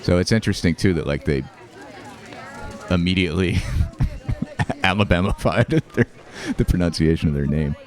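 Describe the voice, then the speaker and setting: A man hosting a show, talking casually and reflectively over home movies, with fillers, restarts and soft laughs. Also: low voice, moderate pace, long pauses, some chatter behind. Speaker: host.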